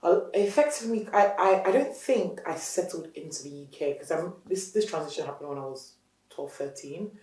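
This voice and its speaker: A woman from Nigeria with a light Nigerian accent, a business founder telling her story in a candid interview, animated, laughing often and restarting her sentences. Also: low voice, normal speed. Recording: roomy, mic at a distance.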